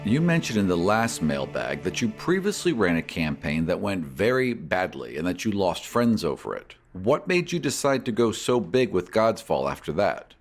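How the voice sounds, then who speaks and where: Deep-voiced, lively man hosting a podcast. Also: normal speed, few pauses, soft background music. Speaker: podcast host and game master. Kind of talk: answering listener questions on a podcast.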